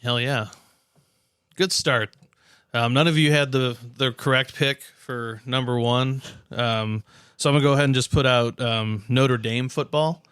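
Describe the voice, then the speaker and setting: A man's voice: mid-range voice, steady tone, unhurried, a bit bright, smooth, no echo, clean studio sound. A man chatting casually about sports on a podcast.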